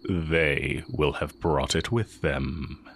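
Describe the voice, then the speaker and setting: A man playing a sly, heretical magic teacher with secret longing, speaking in a measured, teasing way in a romantic audio roleplay. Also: very deep voice, a little hiss or hum, exaggerated voice. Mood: sly, confident. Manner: measured, deliberate, teasing delivery with drawn-out pauses.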